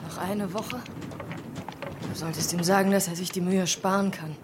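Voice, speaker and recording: An actress playing a starving, despairing woman with a conscience in a radio horror drama, halting and sometimes dropping toward a breathy whisper. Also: lowish voice, steady tone, background noise, lightly acted. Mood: resigned.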